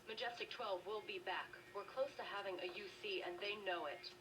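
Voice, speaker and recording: A woman's voice: mid-range voice, brisk, far from the mic, over music, lightly acted, low-quality recording. A woman playing a serious, wary research staffer in a video game, earnest and formal.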